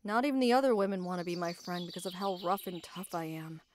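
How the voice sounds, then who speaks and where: A woman's voice: fairly low pitch, expressive, fast, darkish tone, a little hiss or hum, natural voice. A woman playing a tough, proud female knight, guarded about love, speaking earnestly and formally in an anime ASMR roleplay. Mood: wistful.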